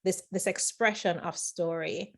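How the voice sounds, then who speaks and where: A woman hosting an interview, thoughtful and hesitant, often pausing with fillers as she shapes her ideas. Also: fairly low pitch, fairly even intonation, gravelly, a bit bright. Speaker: host. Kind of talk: interview conversation.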